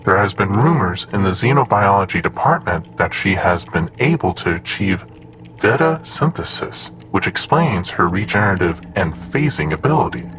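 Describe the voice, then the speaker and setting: An actor playing a formal, precise, unflappable android assistant in an audio drama, reporting in a measured manner. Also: male voice, low-pitched, sing-song. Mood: matter-of-fact. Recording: clear background noise with typing.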